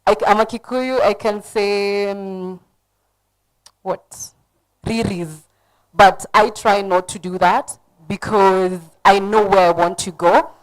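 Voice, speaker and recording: A woman's voice: medium pitch, fairly even intonation, slow, poor audio. A woman with a Kenyan accent speaking as a panelist at a conference, giving direct, earnest advice.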